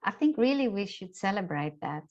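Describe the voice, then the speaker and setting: A woman's voice: mid-range voice, very animated, moderate pace. A woman hosting a casual conversational interview, phrasing hesitantly and repeating words, on a dull lo-fi recording. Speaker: interviewer and host.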